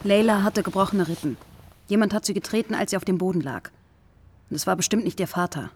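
A woman's voice: medium pitch, quick pace, a little mellow, husky, natural voice. An actress playing a guarded, probing young woman on the run in a German radio crime drama. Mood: guarded, insistent.

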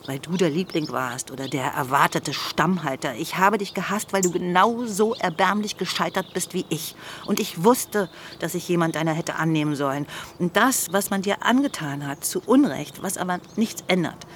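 An actress in a radio play voicing a bitter, wounded sister, accusing and resigned in a deliberate manner. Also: female voice, on the low side, slight background noise, lightly acted. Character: bitter, wounded sister confronting her brother. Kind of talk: modern radio play acting.

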